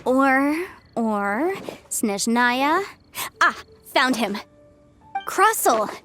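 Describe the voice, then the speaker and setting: A woman's voice: sits high, sing-song, exaggerated voice. An actress voicing a confident young female legal advisor in a video game, articulate, chatty and businesslike. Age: young adult. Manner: brisk, articulate, salesy, breezy. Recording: soft game music behind.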